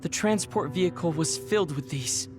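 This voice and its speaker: A man's voice: a little high, moderate pace, music in the background, close to an everyday voice. An actor playing a serious, dutiful male soldier in a video game cutscene. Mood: grave.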